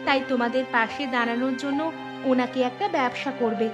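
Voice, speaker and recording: A woman's voice: fairly high pitch, steady tone, a little fast, music in the background, close to an everyday voice. A woman voicing a sympathetic, inquisitive village neighbour in a cartoon, chatty and full of concerned questions. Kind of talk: cartoon voice acting.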